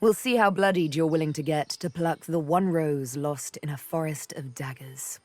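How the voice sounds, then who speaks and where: A woman's voice: low-pitched, moderate pace, darkish tone, no echo, close to an everyday voice. A woman voicing a sardonic, vengeful elf huntress for a video game, with arch, teasing, theatrical phrasing. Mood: wry, guarded. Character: sardonic, vengeful female elf, former slave turned huntress. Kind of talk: video game character dialogue. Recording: background music under the voice.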